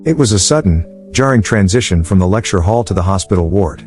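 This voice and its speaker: Deep-voiced male documentary narrator. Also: animated, a little fast, darkish tone. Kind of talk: scripted narration. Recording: music bed under the voice.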